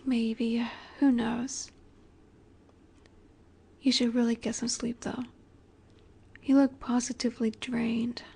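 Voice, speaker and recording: A woman playing an ageless, possessive vampire in a romantic audio roleplay, speaking softly and breathily, often near a whisper, with a wistful, elegant, gently commanding manner. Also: a little high, level tone, takes it slowly, gravelly, pauses often, husky, heavily acted. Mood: tender, wistful. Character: ageless female vampire boss's daughter, wistful, tender, possessive.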